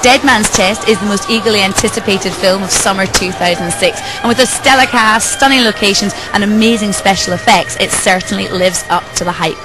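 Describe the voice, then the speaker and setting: A woman's voice: mid-range voice, poor audio. A woman presenter reading a scripted, upbeat wrap-up. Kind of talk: scripted narration. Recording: music underneath.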